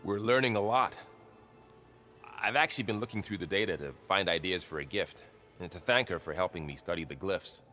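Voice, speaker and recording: A young soldier and loyal friend in a video game. His voice is deep, with a gentle, thoughtful delivery. He comes across as kind and easygoing. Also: brisk, soft background music. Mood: wry, amused. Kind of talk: video game cutscene acting.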